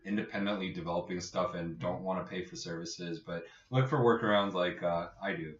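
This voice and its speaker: Echoey distant recording, very low male voice, casual tech podcast co-host, informal and halting. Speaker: co-host, app developer. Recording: echoey room, distant muffled mic.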